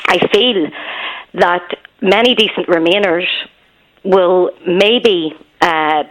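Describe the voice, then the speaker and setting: A woman's voice: lowish voice, low-quality recording. Earnest Belfast woman calling in. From Northern Ireland. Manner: earnest, hesitant with many ums. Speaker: caller. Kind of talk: phone-in call.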